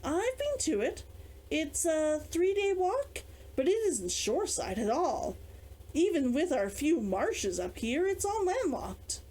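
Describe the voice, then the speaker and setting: A woman's voice: high voice, sing-song, slow pace, a little mellow, pitched above the performer's own voice, exaggerated voice, low-quality recording. Guarded, musing young woman, read by a woman narrator. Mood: matter-of-fact. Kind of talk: character dialogue in audiobook reading.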